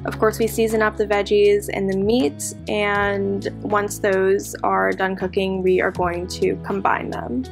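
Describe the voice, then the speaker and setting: A woman's voice: medium pitch, flat delivery, unhurried, creaky, hardly any pauses. A young woman in her twenties chatting casually through a cooking vlog at home, giving upbeat step-by-step tips. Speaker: flight attendant vlogger. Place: home kitchen, indoors. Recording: guitar music playing underneath. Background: guitar music.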